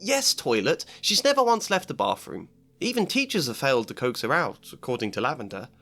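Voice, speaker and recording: A man's voice: medium pitch, very animated, sharp tone, soft background music. A man voicing an anxious, bossy schoolgirl in a storybook reading. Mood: matter-of-fact. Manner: rushed, fussy and anxious. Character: a high, frantic, bossy schoolgirl.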